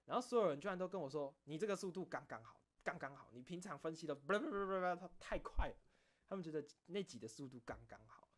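A man's voice: on the high side, expressive, fast talker. Man chatting casually in Taiwanese Mandarin. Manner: rambling, self-correcting, repeats phrases. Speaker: online video creator and host. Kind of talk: casual late-night chat to viewers.

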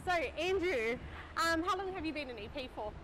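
High-voiced young woman interviewer. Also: animated, normal speed, fairly bright tone, soft background music, poor audio. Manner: friendly, brief encouraging replies. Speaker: interviewer from the clinic staff. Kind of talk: casual staff interview. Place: large gym studio, indoors.

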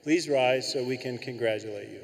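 An older man speaks in a medium pitch from a podium in a large arena. He reads a formal ceremonial address as a university official, and his voice rings with long reverb. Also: normal speed, gravelly. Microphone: podium microphone.

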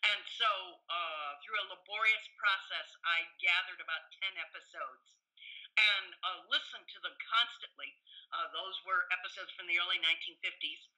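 A woman's voice: on the low side, moderate pace, sharp tone, low-quality recording. An American woman around sixty, a professor chatting enthusiastically and telling anecdotes as an interview guest. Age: 50s to 60s. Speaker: history professor and author, podcast guest.

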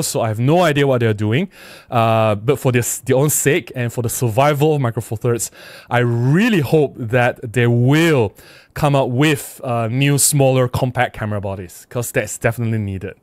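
A man's voice: mid-range voice, normal speed, darkish tone, no echo. Malaysian man livestreaming, dry close sound. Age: middle-aged. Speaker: livestream host. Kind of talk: casual livestream chat, reading out viewer comments and replying. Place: small indoor room at a desk. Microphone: close desk microphone with windscreen.

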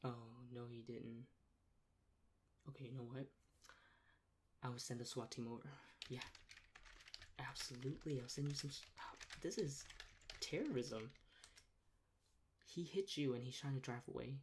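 A young man in a soft-spoken ASMR role play as a rude emergency dispatcher, talking in a deadpan, sarcastic and dismissive way. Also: mid-range voice, moderate pace, pauses often. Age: young adult. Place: small plain room indoors. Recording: clear background noise, keyboard clicks.

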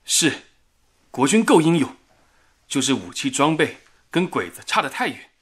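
Fiery, husky wartime patriot. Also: male voice, on the high side, big pitch swings, normal speed. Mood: defiant. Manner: emphatic, indignant. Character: fiery patriotic northeastern man, wartime radio station worker. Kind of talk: radio drama acting.

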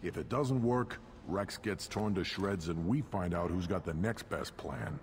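An actor playing a proud, grumbling reptilian alien war mechanic in a video game, boastful and sardonic. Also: male voice, low voice, animated, measured pace, slight background noise.

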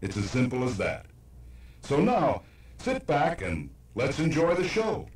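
A man's voice: mid-range voice, very animated, a little slow. A man announcing in a scripted, instructional introduction to a recorded program. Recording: very echoey cassette playback from a distance.

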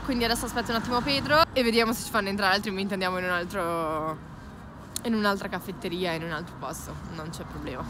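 A woman in her twenties chatting excitedly as she vlogs outdoors on city streets, holding her phone at arm's length. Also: medium pitch, fast, background noise. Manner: chatty, excited, mixes English words. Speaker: vlogger. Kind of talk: casual travel vlog. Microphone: phone held at arm's length.

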